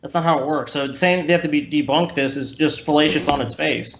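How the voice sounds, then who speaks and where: A man's voice: on the high side, fairly quick, gravelly, noisy background. A man co-hosting a podcast, giving casual, combative reaction commentary with scornful, profane rants. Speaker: podcast co-host. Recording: roomy echo, slightly dull sound.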